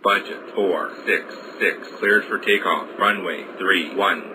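A man playing an airport radio operator in a video game, low in pitch, speaking clipped, procedural phrases. Loud aircraft engine noise runs behind the voice. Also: takes it slowly. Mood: calm, businesslike. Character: airport radio voice giving clipped pilot and controller calls. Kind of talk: scripted air traffic radio phraseology. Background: loud airplane engine and airport ambience.

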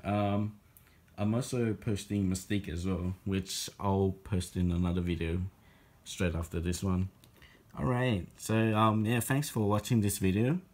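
A man's voice: low voice, steady tone, unhurried, darkish tone. A man showing off a boxed collectible figure in a casual home video, talking hesitantly with many ums and restarts, recorded on a handheld phone indoors. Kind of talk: casual unboxing vlog. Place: indoor room at a table.

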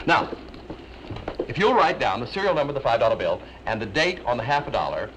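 A man's voice: mid-range voice, very animated, a little fast, lightly acted. A young man playing an earnest, flustered traveler showing off card tricks in a 1940s film. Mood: eager. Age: young adult. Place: studio set of a train club car. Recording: hissy old film soundtrack.

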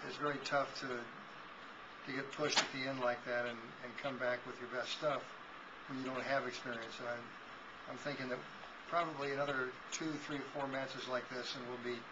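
A man in his sixties, a volleyball head coach giving a measured postgame interview with frequent restarts, recorded on a webcam in a small office. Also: mid-range voice. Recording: dull lo-fi with noise.

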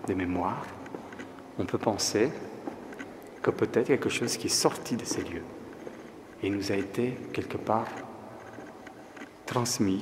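A middle-aged man musing reflectively to his viewers in a casual art vlog, repeating phrases as he goes. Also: fairly low pitch. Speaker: art vlogger and artist. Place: large empty stone library hall, indoors. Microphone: handheld camera at arm's length. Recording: very echoey large hall, background noise.

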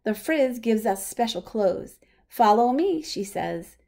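A woman's voice: a little high, animated, takes it slowly, vocal fry, dark tone. Woman reading a children's storybook aloud. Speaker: reader of a children's picture book read-aloud.

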